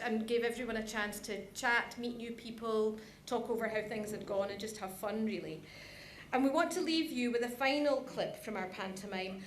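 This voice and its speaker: Female presenter, fairly high voice, informal and chatty, reverberant conference hall. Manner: chatty, addresses the audience directly. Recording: echoey hall, some background noise.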